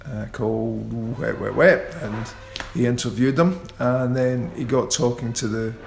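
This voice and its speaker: A middle-aged man guesting in a radio interview, talking in an unhurried, self-deprecating and wry way. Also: mid-range voice, steady tone, gravelly, darkish tone, faint music behind. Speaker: guitarist guest. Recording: roomy echo.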